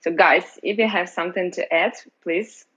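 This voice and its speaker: A woman host introducing the guests from a script, measured, with the occasional hesitant uh. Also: fairly low pitch, fairly even intonation, fairly bright tone, husky. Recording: dull lo-fi audio chat line.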